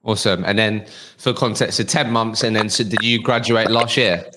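A man's voice: fairly low pitch, steady tone, normal speed, sharp tone, a little hiss or hum. A man hosting an interview podcast, talking conversationally with restarts and fillers. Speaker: podcast host.

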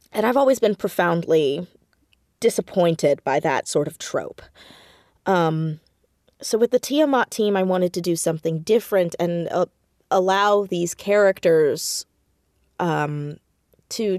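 A woman with a slightly low voice talks casually as a creative guest in an interview. She tells things in an animated, self-deprecating way and leans on key words. The sound is dry, with very little room in it. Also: a little slow, dry recording. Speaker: podcast guest, audio drama writer and creator. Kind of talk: casual interview chat about own writing.

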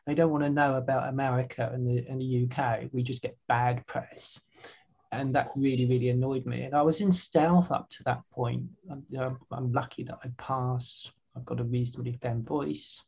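A woman's voice: very deep voice, fairly even intonation, moderate pace, creaky, a little mellow, breathy edge, highs cut off. An older woman, earnestly answering interview questions with frequent restarts and repeated words. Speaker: website founder, guest.

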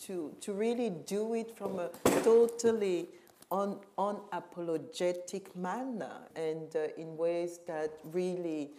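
A middle-aged woman from Cameroon speaks in a panel conversation as a curator. Her voice sits low and sounds warm and mellow. She is reflective and emphatic, and often pauses to search for the right word. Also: a little hiss or hum. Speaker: curator and museum director.

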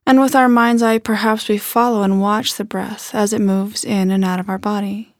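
A woman leading a guided body scan meditation in a gentle, unhurried manner with soft phrase endings. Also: mid-range voice, little pitch movement, no echo, studio quality.

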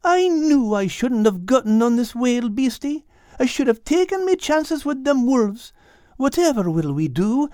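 Cowardly, melodramatic tomcat for children's audiobook; very high, whining, animated. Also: male voice, measured pace, dry recording, studio quality, full character voice. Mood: panicked, whining. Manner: whining, dramatic. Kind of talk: audiobook character voice.

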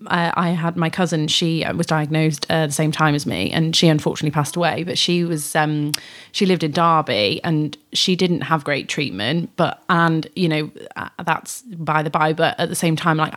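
A woman's voice: low-pitched, steady tone, normal speed. A woman from North Wales, a podcast guest, chatting casually with lots of restarts and fillers. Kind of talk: casual chat.

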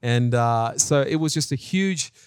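A middle-aged man with an Australian accent preaches in an animated, conversational way, telling personal stories in colloquial language. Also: medium pitch, measured pace, clean tone, no room sound. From New Zealand and Australia.

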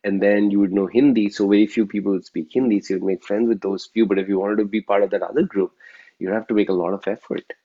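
A man's voice: lowish voice, fairly even intonation, fast talker, highs cut off. A man with an Indian accent, a native Telugu speaker, reflectively telling his own story as an interview guest and often tagging his points with a questioning "right?".

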